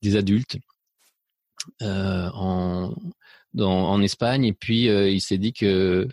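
A man's voice: low-pitched, steady tone, slow pace, a little mellow, no room sound. A middle-aged man from Spain with a mixed French accent, talking about his life in a hesitant way, full of fillers and restarts. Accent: mixed French, slight Belgian. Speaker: interview guest. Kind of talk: reflective personal interview.

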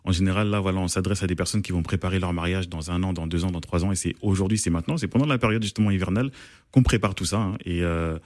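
A man's voice: low-pitched, fairly even intonation, fast, a little mellow, dry recording. A man born in France, an event organizer giving a radio interview, speaking hesitantly with many fillers and restarts. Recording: dry radio studio.

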